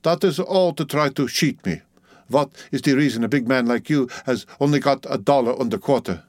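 A man's voice: mid-range voice, normal speed, no echo, pitched above the performer's own voice, natural voice. A storybook midwife, huge, brusque and out for money, acted by a male reader in a raised voice. She drives a hard bargain in clumsy English, blunt and unyielding, in a close, dry recording. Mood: scornful, hard. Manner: blunt, haggling, broken English. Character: hard-bargaining, enormously fat Dutch midwife woman, brusque and mercenary.

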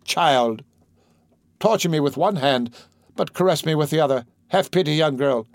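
A man's voice: on the high side, normal speed, creaky, no echo, clean studio sound, pitched above the performer's own voice. A man reading the part of an anguished priest desperately pleading his obsessive love, in impassioned, theatrical declamation. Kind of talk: audiobook character reading.

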